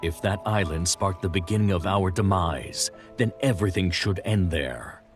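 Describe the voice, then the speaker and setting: Deep, stern sea-folk henchman played by a man. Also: normal speed, natural voice. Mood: stern, grave. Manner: formal, solemn. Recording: loud music under the voice.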